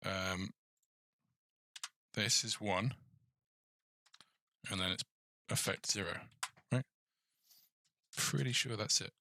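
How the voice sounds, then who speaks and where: A man's voice: fairly low pitch, vocal fry, pushed and bright. A man hosting a casual coding tutorial, thinking aloud in a hesitant mutter as he works. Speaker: game development tutorial host.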